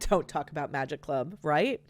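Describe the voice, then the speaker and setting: A woman's voice: sits low, expressive, unhurried, no room sound, soft background music. A woman streamer reading a game's story aloud in a theatrical, dramatic storyteller manner. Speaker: streamer, host of a game let's play. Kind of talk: expressive storybook read-aloud of game text with excited asides.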